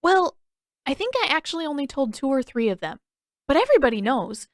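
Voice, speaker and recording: A woman voicing an excitable, boastful young teenage boy in an audiobook, exuberant and eager. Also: high voice, brisk, no echo, studio quality, pitched above the performer's own voice. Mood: cheerful. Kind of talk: audiobook character voice.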